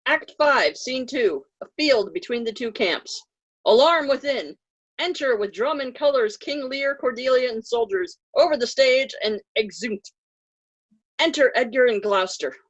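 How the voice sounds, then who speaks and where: A woman with a fairly high voice acts as narrator for a play reading. She announces scenes and scripted stage directions. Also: lively intonation, measured pace, highs cut off.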